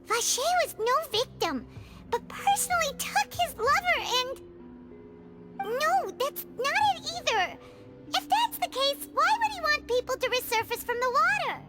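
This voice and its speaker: Very high, squeaky childlike fairy. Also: female voice, big pitch swings, moderate pace. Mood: puzzled, determined. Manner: refers to self in third person, exclamatory. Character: tiny excitable childlike female fairy companion, easily scared. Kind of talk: video game voice acting. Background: game music.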